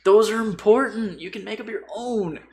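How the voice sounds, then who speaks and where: Creaky-voiced man in casual, sweary chat. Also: high voice, normal speed, darkish tone. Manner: opinionated, sweary, slangy. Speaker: podcast guest. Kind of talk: casual chat about tabletop role-playing.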